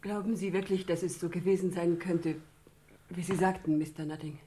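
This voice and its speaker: An actress playing a distraught, defiant, high-strung young woman in a German radio crime drama. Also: fairly even intonation, normal speed, warm tone, a little hiss or hum, lightly acted. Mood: anxious.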